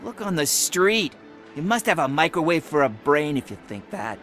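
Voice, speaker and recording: A man's voice: on the high side, big pitch swings, normal speed. A man playing a cheeky, cynical truant kid in a video game, sarcastic, slangy and defiant. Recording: loud music underneath.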